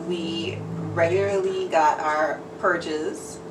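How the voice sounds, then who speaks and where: A woman's voice: lowish voice, steady tone, slow. A woman who grew up in New York, chatting and laughing easily as she is interviewed in an herbal shop. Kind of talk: casual interview chat. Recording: roomy, distant mic, noisy background.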